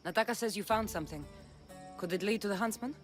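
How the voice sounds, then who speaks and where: A woman's voice: medium pitch, quick pace, faint music behind, lightly acted. A woman playing a tough, determined mercenary of ancient Greece in a video game cutscene, blunt and commanding. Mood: grave.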